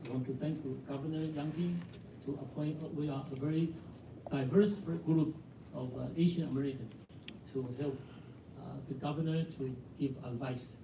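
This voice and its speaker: An older man from Taiwan, a real estate broker, introducing himself at a meeting in a halting way with many fillers. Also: fairly high pitch, steady tone. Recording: echoey room, distant mic, background noise.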